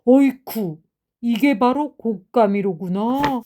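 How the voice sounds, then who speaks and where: A woman voicing a boastful but easily frightened, hungry tiger in a children's storybook, with a theatrical drawn-out delivery. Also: on the high side, warm tone, a little hiss or hum. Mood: panicked.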